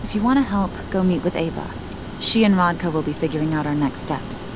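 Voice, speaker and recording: A woman's voice: on the low side, a little fast, background noise, close to an everyday voice. An actress playing a bitter, determined young activist estranged from her father in a video game cutscene. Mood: businesslike, resolute. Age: young adult. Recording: game music behind the voice.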